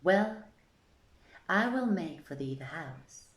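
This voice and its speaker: A woman voicing a wily male carpenter with measured, formal, archaic speech in a folk tale reading. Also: lowish voice, animated. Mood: deferential. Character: wily male carpenter, outwardly humble, secretly vengeful. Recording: echoey, roomy sound.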